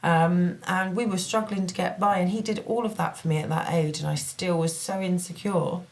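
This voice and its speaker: A woman's voice: lowish voice, steady tone, moderate pace. A woman co-hosting a casual podcast chat, talking candidly and self-critically in a chatty way. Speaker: podcast co-host.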